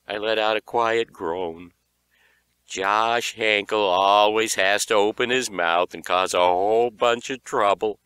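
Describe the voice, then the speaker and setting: A man reading a young horror story as an audiobook narrator, with an animated, dramatic delivery. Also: on the low side, slow, clean tone, no room sound.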